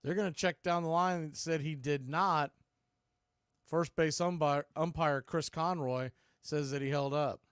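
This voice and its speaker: A man doing live radio-style baseball play-by-play as the announcer, making clipped pitch calls in short phrases. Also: steady tone, a little slow, smooth, no room sound, studio quality.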